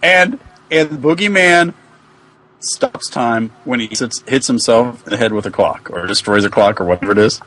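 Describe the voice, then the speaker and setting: A man's voice: medium pitch, moderate pace, a bit bright. A man in casual comic chat as a podcast co-host, puzzling aloud and often repeating himself.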